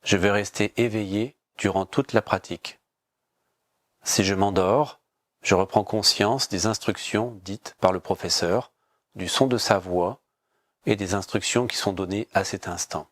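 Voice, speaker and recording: Low-voiced man guiding a calm meditation. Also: dry recording, studio quality. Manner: calm, measured, repetitive instructing. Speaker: yoga nidra teacher. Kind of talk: guided relaxation meditation, spoken instructions.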